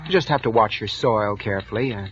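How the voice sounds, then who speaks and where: An actor playing a meek, touchy, hesitant bookkeeper in an old radio drama, recorded with muffled vintage sound. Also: male voice, on the low side, fast, a bit bright, a little hiss or hum, close to an everyday voice. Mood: shy, nervous. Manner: hesitant, stammering, defensive. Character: meek, jittery, touchy male bookkeeper, henpecked daydreamer. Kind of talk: 1940s radio drama acting with first-person narration.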